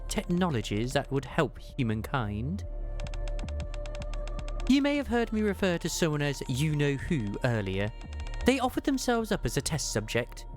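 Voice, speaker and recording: A man voicing an ancient, knowing woman from a video game, who recalls things fondly in measured, formal speech. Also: on the high side. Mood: explanatory. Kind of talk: read-aloud video game dialogue.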